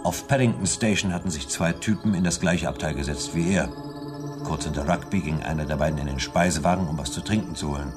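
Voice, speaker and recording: A man's voice: a bass voice, quick pace, music in the background. An actor playing a matter-of-fact male investigator who recounts his hunt in a science fiction radio play. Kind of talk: 1970s German science fiction radio drama acting.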